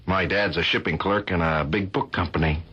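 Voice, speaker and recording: An actor playing an amiable, earnest young art student with an easygoing manner in an old-style radio drama. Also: male voice, sits low, fairly even intonation, normal speed, bright, forceful tone, breathy edge, full character voice. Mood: earnest, frank. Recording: dull, lo-fi radio drama recording.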